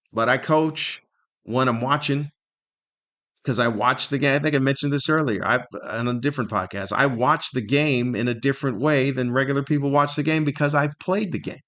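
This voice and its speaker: A man's voice: mid-range voice, pushed and bright. An older man with an American accent, talking casually and in a rambling, self-deprecating way as he hosts a solo podcast. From Southern California, United States. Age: older, 50s or above. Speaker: podcast host.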